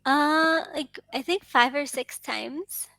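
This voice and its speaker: A woman's voice: high voice, slow pace, creaky, sharp tone, no echo. A woman with an American accent chatting casually as an English tutor, encouraging and responsive with frequent affirmations. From United States. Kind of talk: casual one-on-one conversation practice. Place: online video lesson, indoors.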